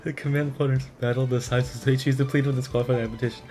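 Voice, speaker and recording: A man's voice: mid-range voice, level tone, very fast, a little mellow, a little hiss or hum. A man streaming a game, casually commenting and reading game text aloud in a profane, reactive manner. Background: game music.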